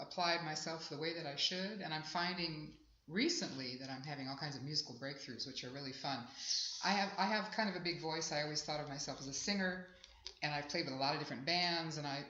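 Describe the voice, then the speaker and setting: A woman in her sixties talks about her music in a rambling, reflective way. Her voice is bright and clear, and the live recording has an echoey, somewhat dull sound. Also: low voice, a little hiss or hum. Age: 60s. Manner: chatty, warm and reflective, rambling. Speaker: singer-songwriter guest. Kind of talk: casual interview at an outdoor festival. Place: festival grounds.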